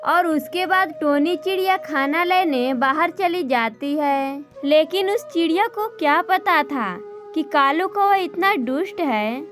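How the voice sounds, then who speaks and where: A woman's voice: high-pitched, animated, a little slow, hardly any pauses, music in the background. A woman narrator reading a scripted children's story in a storybook style.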